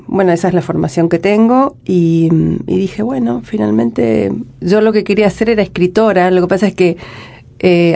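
A woman in her forties with an Argentine accent, a writer answering interview questions in a reflective way, often pausing and restarting. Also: lowish voice, creaky, warm tone. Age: 40s. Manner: reflective, talks in halting restarts. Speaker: guest, poet and writer. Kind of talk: casual interview conversation.

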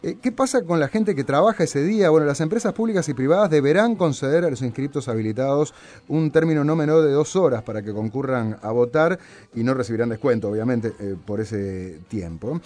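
Uruguayan radio host, man, mid-range voice, patient explainer with steady "eh" fillers. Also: medium pitch, fairly quick. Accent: Uruguayan Spanish. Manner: explanatory, many "eh" fillers and "¿no?" tags. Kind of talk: radio talk and interview.

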